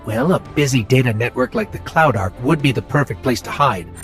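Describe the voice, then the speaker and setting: Man as an animated, earnest sci-fi companion. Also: medium pitch, fairly quick, faint music behind, natural voice. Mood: earnest, reflective. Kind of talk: video game character dialogue.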